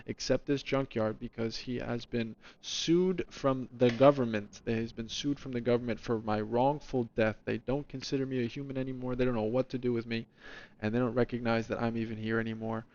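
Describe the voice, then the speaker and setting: A man's voice: medium pitch, steady tone, brisk, darkish tone, slight background noise. Guy streaming, chats w viewers, rambles a lot, kinda dull sound. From New Jersey, US. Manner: rambling, chatty, talks to chat by name. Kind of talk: casual stream chat in a lemon persona.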